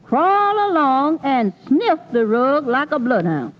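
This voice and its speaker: An actress playing an affectionate, gossipy housemaid in old-time radio comedy, talking in a folksy, colloquial way. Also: female voice, high-pitched, very animated, slow, slight background noise, exaggerated voice. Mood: eager, gossipy. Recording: muffled old radio recording.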